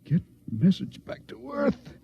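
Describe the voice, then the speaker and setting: An actor playing a dying ship's doctor who gasps out warnings in halting phrases, in 1950s radio drama style. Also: male voice, sits high, huge pitch swings, gravelly, warm tone, slightly rough, heavily acted. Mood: urgent, failing. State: gasping, dying. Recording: muffled vintage radio broadcast, faint noise.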